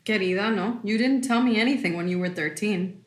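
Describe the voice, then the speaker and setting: A woman's voice: mid-range voice, fairly quick, lightly acted. A woman playing a protective, worried middle-aged mother of a grown daughter in a naturalistic audio drama, with a concerned manner. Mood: gently chiding. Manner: concerned, slips into Spanish endearments and phrases. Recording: roomy indoor echo.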